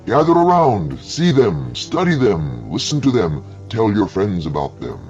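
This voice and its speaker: A man's voice: fairly low pitch, huge pitch swings, measured pace, darkish tone, dull audio, soft background music, exaggerated voice. A man voicing a proud showman professor presenting an alien zoo, proclaiming theatrically like a barker in a dramatised story. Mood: grand, urgent.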